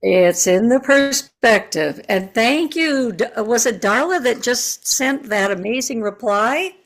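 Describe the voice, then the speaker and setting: Slow-speaking older woman asking a question. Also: a little high, expressive. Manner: slow, earnest, searching for words. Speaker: retired teacher, participant. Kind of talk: question in an online seminar. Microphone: video call.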